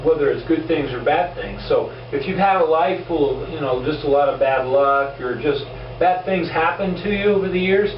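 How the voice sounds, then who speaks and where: A man with a hoarse, fading voice talks earnestly about self-improvement, stumbling over repeated words as he goes. His voice sits a bit high, and he is picked up from some distance in an echoing room. The sound is dull, with a steady hiss of noise underneath. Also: normal speed. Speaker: host of a self-improvement video show. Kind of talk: casual motivational monologue. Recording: roomy, distant, dull and noisy.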